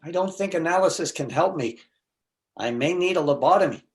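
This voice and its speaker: A man playing a neurotic, self-pitying jilted husband in a stage comedy reading, meek and halting. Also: a little high, close to an everyday voice. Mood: anxious, chatty. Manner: self-deprecating, halting, stammering. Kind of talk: stage comedy readers theater.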